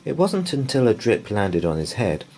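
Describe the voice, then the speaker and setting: A man reading a bedtime story aloud in an expressive, deliberate way. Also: on the low side. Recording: faint background noise.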